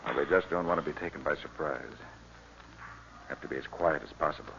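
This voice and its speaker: A man's voice: very deep voice, fairly quick, a bit bright, slight background noise. An actor playing an earnest, rational, authoritative male doctor in a 1950s radio drama. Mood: tense. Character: commanding, rational male doctor leading a search. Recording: muffled old radio recording.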